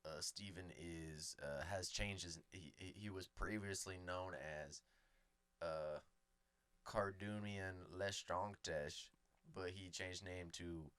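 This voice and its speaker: A man co-hosting a comedy podcast, rambling through absurd improvised bits in a deadpan way. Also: low voice, takes it slowly, no echo. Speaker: podcast co-host.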